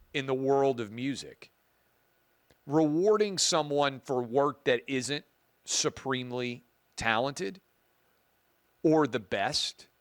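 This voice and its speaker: A man with a booming voice hosting a talk show, giving emphatic opinion commentary and repeating key points for effect. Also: a bit bright, clear, resonant tone, no room sound.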